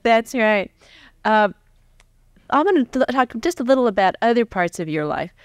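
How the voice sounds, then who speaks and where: Woman, middle-aged, American, smooth clear voice at medium pitch, dry sound, conversational interviewer. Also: sing-song, normal speed, no echo. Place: indoor stage before a live audience. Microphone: large stand microphone close in front.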